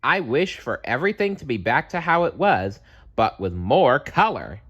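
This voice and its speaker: A man's voice: mid-range voice, sing-song, normal speed, a bit bright, slightly rough, highs cut off, pitched above the performer's own voice. A man voicing a scatterbrained, eager and apologetic bear in a children's storybook, rambling earnestly. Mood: regretful wishing.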